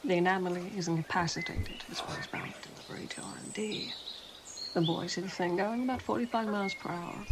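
A woman's voice: medium pitch, creaky, background noise, natural voice. A woman playing a cool, formal science operative in an audio drama, wording things precisely.

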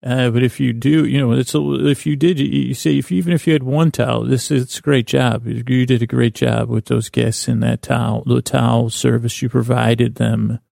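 A man with a creaky voice hosting a bedtime podcast, meandering and halting in a lulling, self-deprecating monologue. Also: medium pitch, steady tone, dark tone, no room sound, studio quality. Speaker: podcast host.

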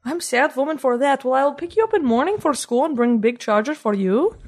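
Girl putting on a brash comedy eastern european woman, high voice, broken english, everything exaggerated, podcast chat. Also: lively intonation, brisk. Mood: gushing. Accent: put-on mock Eastern European. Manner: broken English with dropped articles, hyperbolic. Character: Chelga, brash comic Eastern European woman alter ego. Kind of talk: comic improvised podcast chat in character.

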